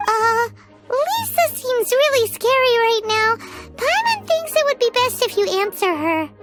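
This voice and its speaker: A woman voicing a tiny, childlike female game companion, squeaky, cheeky and excitable, speaking of herself in the third person. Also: a little slow, faint music behind, exaggerated voice. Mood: nervous. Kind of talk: anime game voice acting.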